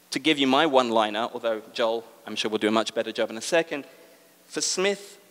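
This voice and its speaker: British man, debate moderator, mid-pitched voice, lecturing delivery with ums, echoing large hall. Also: fairly bright tone. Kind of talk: opening remarks at a public debate. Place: large exhibition hall with an audience. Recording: long reverb of a large hall.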